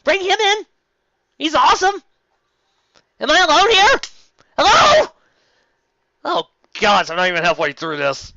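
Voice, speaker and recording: Animated, bright-voiced exasperated fan fiction reviewer. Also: high voice, a little slow, long pauses, no echo. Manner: theatrical, exasperated, prone to sudden outbursts. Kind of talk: mocking dramatic reading with exasperated commentary. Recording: dull lo-fi recording.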